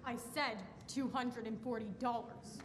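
A woman's voice: steady tone, a little slow, lightly acted. A young woman acting a stubborn, agitated, demanding townsman in a radio play. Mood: angry demanding. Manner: insistent, agitated. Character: stubborn, demanding small-town male depositor. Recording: echoey hall, crowd and rumble.

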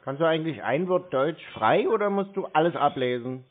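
A man's voice: a little high, lively intonation, a little fast. Blunt man on a tinny phone line. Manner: blunt, mocking. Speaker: scam-baiter taking phone calls. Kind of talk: unscripted prank phone conversation.

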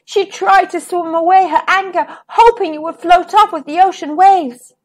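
A woman reading a children's picture book aloud as a storyteller, with expressive delivery that draws out and stresses key words. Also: extremely high pitch, moderate pace. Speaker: storyteller, host of a children's read-aloud show.

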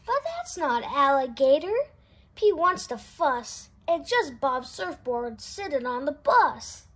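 A woman reading a children's picture book aloud in an expressive, sing-song way. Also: high voice, slow pace. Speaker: storybook reader. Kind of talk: children's read-aloud narration.

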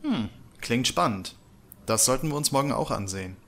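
A man playing a calm, cooperative investigator in an amateur radio play, casual and level-headed. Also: medium pitch, moderate pace. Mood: calm, helpful.

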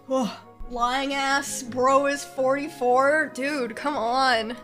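A young woman talks casually to her stream from a bedroom setup, her voice high and congested. She sounds playfully exasperated as she jokes with her chat. Faint music plays behind her. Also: steady tone, very slow, a bit bright. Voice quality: nasal, congested.

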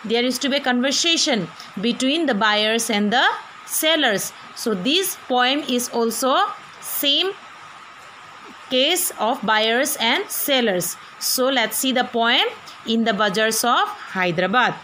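A woman's voice: on the high side, lively intonation, takes it slowly, sharp tone, a little hiss or hum, poor audio. A woman teacher with an Indian English accent, giving an online class and explaining a poem to students, asking them questions as she goes.

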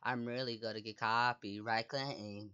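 Female gaming host, very low voice, playful teasing chat, muffled mic. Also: fairly even intonation, unhurried, pushed and bright, clear, resonant tone. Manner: playful, teasing, gasping and exclaiming. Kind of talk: casual gameplay chat with a friend.

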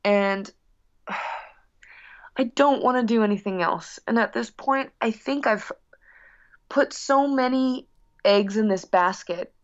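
A woman's voice: a little high, takes it slowly, pauses often, lo-fi sound. A woman musician being interviewed casually, talking in a long-winded, rambling, earnest way. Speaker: guest musician.

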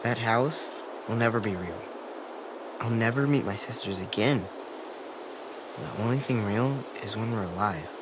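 A man playing a soft-spoken, sad and earnest young boy artist in a dramatic video game, speaking haltingly. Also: on the low side, lightly acted. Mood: somber, earnest. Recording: clear ambient noise behind.

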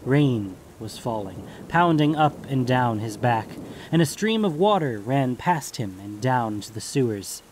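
A man's voice: medium pitch, expressive, a little slow, darkish tone, a little hiss or hum. A man reading scripted fantasy fiction as the narrator of an audio story.

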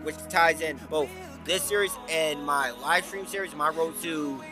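A man's voice: a little high, measured pace, over music. A young man with a headset mic in his bedroom, giving hyped, playful commentary on his game and joking about his own singing. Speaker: gaming YouTuber. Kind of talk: casual gameplay commentary and outro. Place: bedroom at home, indoors.

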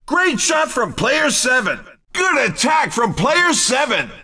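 A man playing a cheeky, excitable race commentator in a video game, calling the action in punchy, playful exclamations. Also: very high voice, slow, shouting, distant mic, full character voice. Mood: excited, hyped. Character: hyped-up cheeky male racing game announcer. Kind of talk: excited arcade racing commentary.

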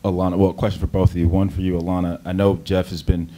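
A male reporter with a low, mellow voice asks players questions briskly, rephrasing as he goes. Faint noise sits behind him. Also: flat delivery. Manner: brisk, restarts mid-question. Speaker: sports reporter. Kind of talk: press conference questions.

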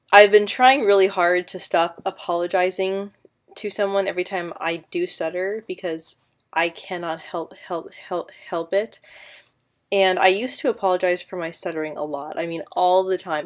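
A woman's voice: on the low side, flat delivery, normal speed. A woman in her twenties vlogging casually to camera on a webcam mic from her sofa at home, stuttering often on sounds and words, earnest and encouraging. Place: living room, seated on a sofa indoors. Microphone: built-in webcam mic.

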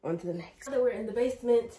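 A woman's voice: a little high, very fast, mellow. A woman in her twenties with an American accent chats casually and informally to camera in slangy language while doing chores at home. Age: 20s. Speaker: home and cleaning vlogger. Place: inside house rooms, bedroom and basement. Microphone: camera or phone set at a distance. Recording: roomy home echo, distant mic, background music. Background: music.

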